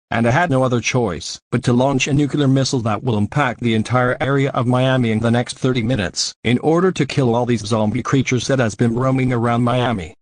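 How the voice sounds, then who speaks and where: Male actor as solemn president, mid-pitched, formal measured address, alert video drama, very dry recording. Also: no room sound, lightly acted.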